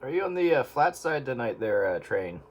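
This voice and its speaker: A man's voice: mid-range voice, very animated, fairly quick. A man making casual check-in chat as a radio hobbyist. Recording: loud radio static and noise.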